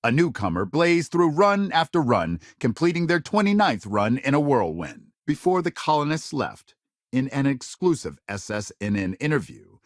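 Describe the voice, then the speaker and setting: A man's voice: medium pitch, very animated, moderate pace, no echo, studio quality, lightly acted. An actor voicing an earnest, polished male news anchor in a video game, with emphatic, dramatic broadcast phrasing. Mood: grave, urgent.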